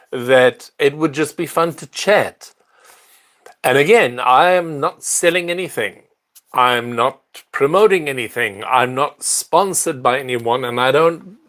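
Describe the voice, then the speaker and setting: Older South African-born man, livestream host, earnest and unhurried delivery. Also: medium pitch. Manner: unhurried, earnest, warm greetings to viewers. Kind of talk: casual livestream chat with viewers.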